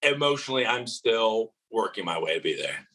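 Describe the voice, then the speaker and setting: Bright-voiced young man on a video call. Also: on the low side, animated, normal speed. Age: 20s. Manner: earnest, filler-heavy with repeated restarts. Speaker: reality show contestant. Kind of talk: remote interview answers. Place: apartment living room.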